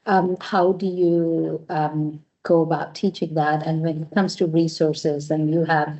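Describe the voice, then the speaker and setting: A woman on a school board asking questions in a measured, hesitant way, with many pauses and ums. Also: low-pitched, little pitch movement, mellow, highs cut off. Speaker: board member. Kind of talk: questioning presenters at a board meeting.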